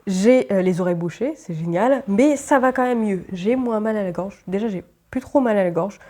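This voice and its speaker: A woman's voice: medium pitch, normal speed, mellow. A woman book vlogger with a stuffy, nasal voice from a cold, chatting casually to her viewers with many fillers. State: congested, stuffy nose from a cold. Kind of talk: casual chat to camera.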